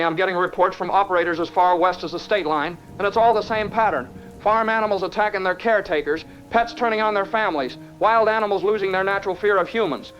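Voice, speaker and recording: A man's voice: sits high, a bit bright. An actor playing an alarmed, cautious radio operator in an audio drama, urgent and earnest as he warns others. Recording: dull radio sound, music and rain.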